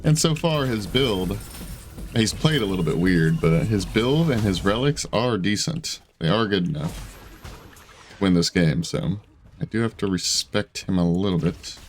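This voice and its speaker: A man in his twenties giving casual, chatty gameplay commentary from his room, self-deprecating and quick to laugh. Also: low-pitched, animated. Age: 20s. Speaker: gaming streamer. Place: home room at a desk.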